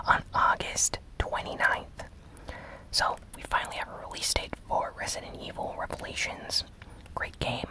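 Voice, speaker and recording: A host recapping gaming news in a breathy, unvoiced ASMR whisper, soft-spoken and relaxed. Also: poor audio.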